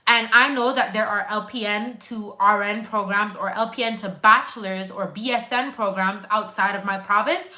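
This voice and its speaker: A woman's voice: mid-range voice, fairly even intonation, moderate pace, hardly any pauses, sharp tone. A woman in her twenties, a nurse hosting a casual live stream, chatting animatedly and talking straight to her viewers while reading out their comments. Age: 20s. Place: at home on a couch.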